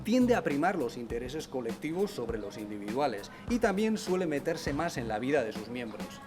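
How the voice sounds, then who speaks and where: A man's voice: medium pitch, big pitch swings, normal speed, music in the background. A man with a Spain Spanish accent hosting a conversational explainer video in an articulate, explanatory way. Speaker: video host.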